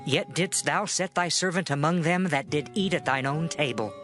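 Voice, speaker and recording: A man's voice: fairly high pitch, normal speed, a bit bright, soft background music, natural voice. An actor playing a meek, loyal servant in a dramatized scripture, earnest and deferential before his king. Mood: pleading.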